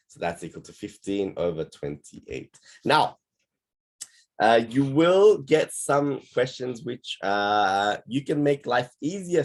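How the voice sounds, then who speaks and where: A man teaching maths on an online class call, his voice going hoarse, explaining patiently step by step. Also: medium pitch.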